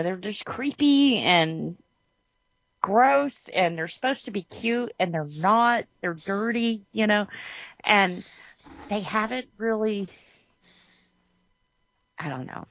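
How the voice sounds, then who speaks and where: A woman co-hosts a casual podcast in an unhurried, slow way, often laughing and letting sentences trail off. Her voice sits close and dry, with a slightly dull, muffled tone. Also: mid-range voice, a bit bright, dry recording. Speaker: podcast co-host. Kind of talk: casual chat.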